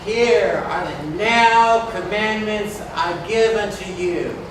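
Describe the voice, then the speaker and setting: A man's voice: high-pitched, slow pace. An actor playing an exuberant male poet, declaiming joyful commandments in a solemn, repetitive chant in a staged reading. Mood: proclaiming. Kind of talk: staged reading of a ceremonial verse masque. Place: large indoor community hall. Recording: echoey hall, distant mic, background noise.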